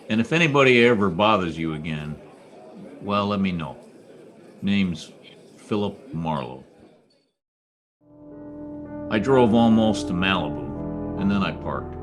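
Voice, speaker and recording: Man acting low-voiced hard-boiled private eye. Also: animated, a little slow, long pauses, soft background music. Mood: gentle, guarded. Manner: wry, laconic, clipped. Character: hard-boiled private detective, world-weary, quietly decent. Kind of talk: old-time radio noir acting and narration.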